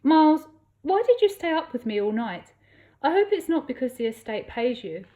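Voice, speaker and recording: A woman's voice: sits high, very animated, moderate pace, phone-quality sound, voice pushed up. A shy, awkward woman, read by a female narrator stumbles over her words. Her voice is hesitant and flustered, lifted a little above the narration. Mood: pleading.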